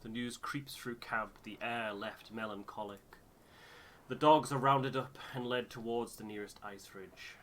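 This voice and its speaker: A man reading video game narration aloud in a measured storybook way, with casual asides as a playthrough co-host. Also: medium pitch, fairly even intonation, fairly bright tone.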